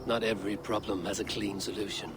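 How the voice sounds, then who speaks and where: A man's voice: on the low side, flat delivery, fast, very noisy, lightly acted. A man playing a stern, principled Viking warrior in a video game, speaking in a grave, terse and resolute manner. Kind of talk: dramatic video game acting.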